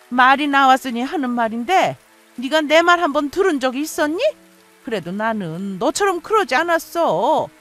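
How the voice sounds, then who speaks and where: A woman's voice: high voice, fairly bright tone. A woman playing an indignant, domineering middle-aged mother-in-law in a radio story, scolding with accusatory questions. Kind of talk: radio story drama acting.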